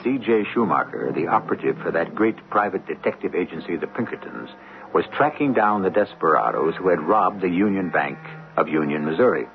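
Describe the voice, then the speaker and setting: Deep, gravelly American radio narrator man. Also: expressive, brisk. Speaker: host and narrator. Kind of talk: dramatic scripted radio narration. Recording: thin phone-like old radio broadcast. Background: loud music.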